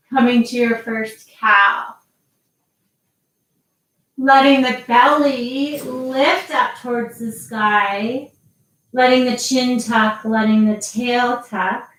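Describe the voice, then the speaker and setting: A woman guiding a yoga class with slow, calm cues and long pauses between them. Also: on the high side. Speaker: yoga teacher. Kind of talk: guided yoga instruction. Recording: very echoey, voice from a distance.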